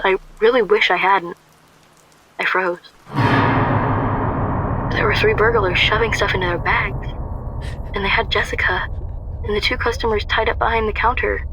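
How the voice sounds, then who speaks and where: A woman's voice: mid-range voice, sharp tone, low-quality recording. A woman playing an anxious, guarded young shop worker, narrating a radio drama in a wry, confessional way.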